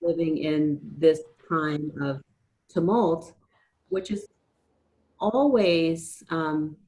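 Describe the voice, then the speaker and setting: A woman speaking as an interview guest, thinking aloud hesitantly with many "um" pauses. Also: deep voice, a little mellow, a little hiss or hum, rough recording. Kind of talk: conversational interview.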